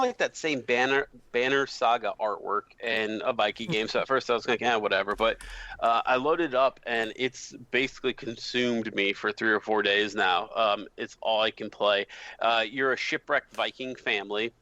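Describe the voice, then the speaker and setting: A man's voice: fairly low pitch, bright, forceful tone, no room sound. An American man chatting casually as a podcast panelist, rambling with lots of fillers. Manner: loose and rambling, heavy use of "like". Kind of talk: casual chat. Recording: slightly muffled dry mic.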